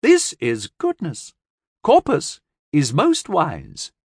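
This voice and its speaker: A man voicing a young female alien creature who speaks in slow, broken, formal phrases. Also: on the high side, wildly swooping pitch, a little mellow, slightly rough, no room sound, clean studio sound, voice pushed up, full character voice. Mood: earnest.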